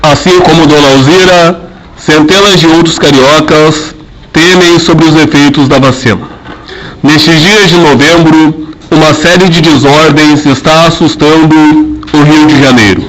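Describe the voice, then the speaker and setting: A man's voice: a little high, fairly even intonation, a little slow, fairly bright tone, a little hiss or hum, poor audio. A young man playing a formal radio news announcer, reading scripted narration.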